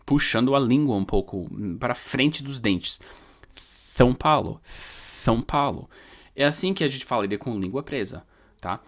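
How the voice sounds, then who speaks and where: A man's voice: mid-range voice, measured pace. A man from New York, a native English speaker with an American New York accent, teaching pronunciation informally to viewers, explaining with repeated, stressed words. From New York, United States.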